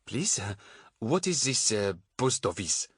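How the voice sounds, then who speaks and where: A man voicing an anxious, earnest foreign traveller with a French accent and halting, stilted phrasing, in a storybook. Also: lowish voice, sing-song, a bit bright, husky, dry recording, studio quality, exaggerated voice.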